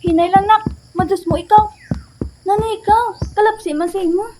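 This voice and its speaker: A tender, consoling mother acted by a woman in a radio drama, high in pitch, with a Western Visayan Filipino accent. The amateur recording has clear background noise. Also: steady tone, moderate pace. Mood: worried, soothing.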